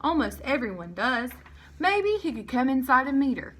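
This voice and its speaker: A woman's voice: high voice, big pitch swings, moderate pace, pitched above the performer's own voice. Woman as an earnest, eager young girl. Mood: earnest pleading. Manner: polite, persuasive, folksy.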